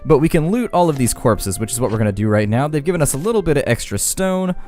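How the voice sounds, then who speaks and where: A man's voice: medium pitch, animated, fast talker, a little mellow. Man doing chatty game commentary over music. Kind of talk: casual let's-play commentary.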